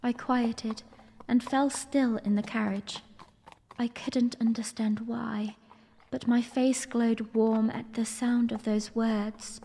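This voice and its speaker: A young princess, played by a woman, tells her memories in an audio drama. Her voice is high and very unhurried, ladylike and lightly amused. It rings in a long hall reverb with some noise. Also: steady tone, creaky, mellow. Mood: warm, composed. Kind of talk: audio drama narration and dialogue.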